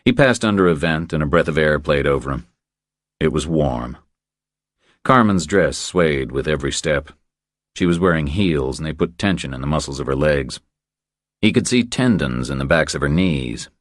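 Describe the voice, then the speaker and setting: Very deep-voiced male audiobook narrator. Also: animated, normal speed, no echo, clean studio sound. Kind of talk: scripted thriller narration.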